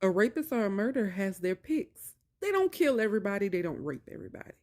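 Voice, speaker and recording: A woman's voice: medium pitch, big pitch swings, quick pace, darkish tone. A woman talking casually to viewers and telling a personal story in colloquial phrasing, emphatic and repeating phrases for stress. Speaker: video creator talking to viewers about narcissistic abuse.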